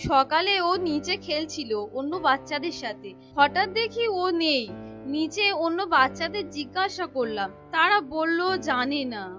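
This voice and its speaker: A woman's voice: high-pitched, expressive, normal speed, a bit bright, highs cut off, faint music behind. A woman voicing a distraught, crying mother in a cartoon. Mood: distraught, tearful. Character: distraught wailing mother of a lost boy. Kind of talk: cartoon voice acting.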